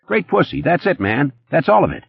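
A man voicing a jittery, boastful, sleazy young playboy who talks fast and nervous, his voice pitched well above his own. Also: big pitch swings, gravelly, husky, no echo, dull audio, exaggerated voice. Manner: rapid, nervous, boastful, pitched high and pushy.

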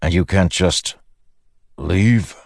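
Guy doing a stubborn war leader in an audiobook, low gravelly voice, blunt and firm. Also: slow pace, dry recording, clean studio sound, full character voice. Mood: defiant. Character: stern male war leader, guarded, stubborn, defiant. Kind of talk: audiobook fantasy dialogue.

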